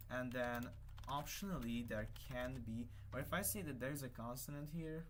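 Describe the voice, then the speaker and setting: A man's voice: medium pitch, normal speed, slight background noise. A young man with a Hungarian accent, a native Hungarian speaker, casually explaining his constructed language and thinking aloud with hesitant self-corrections. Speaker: conlang creator. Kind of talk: casual screen-share explanation.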